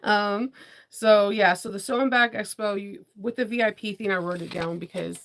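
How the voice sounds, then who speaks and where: A woman's voice: medium pitch, fairly even intonation, moderate pace, creaky, a bit bright, a little hiss or hum. A woman hosting a casual sewing chat with her viewers, congested and rambling, often laughing. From Washington DC area. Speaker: sewing channel host.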